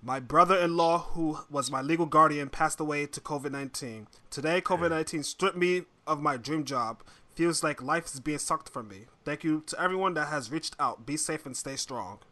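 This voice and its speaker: A man with a fairly high, bright and forceful voice co-hosts a podcast. He speaks informally, breaking in to add updates in a casual chat style. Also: moderate pace, hardly any pauses. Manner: interjecting, informal. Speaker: podcast co-host.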